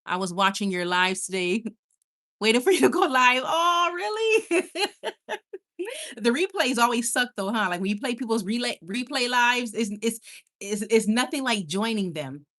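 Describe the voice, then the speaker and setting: A young woman with a Caribbean Spanish accent talks straight to her live chat, bubbly and quick to squeal with excitement. Her voice is bright and forward. She sounds at home and at ease. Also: fairly high pitch. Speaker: livestream host. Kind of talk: casual live chat reading viewer comments.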